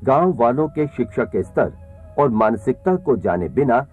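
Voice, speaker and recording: Animated male training-film narrator, muffled. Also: mid-range voice, normal speed, husky. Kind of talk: scripted instructional narration, posing questions and answering them. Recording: muffled soundtrack with faint music.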